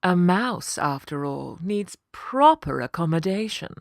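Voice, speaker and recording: A grand lady cat from a children's story, played by a woman, canny and stern but kind underneath. She speaks slowly and firmly in a fairly low female voice, with a close, dry sound. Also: animated, creaky, dry recording. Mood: businesslike, poised. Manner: grand, firm, measured.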